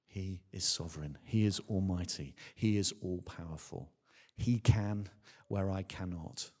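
Deep-voiced preacher in a reverberant hall. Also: male voice, a little mellow. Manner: earnest, emphatic, with rhetorical questions and deliberate repetition. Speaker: Baptist minister preaching. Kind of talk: sermon to a congregation. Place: large church or hall, speaking from a stage. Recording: reverberant hall with long echo.

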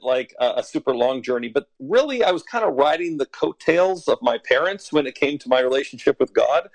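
A man's voice: medium pitch, no echo, poor audio. A man in his forties from western Canada, an author speaking as a podcast guest, thoughtful with frequent fillers and chuckles. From Alberta, Canada. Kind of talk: podcast interview.

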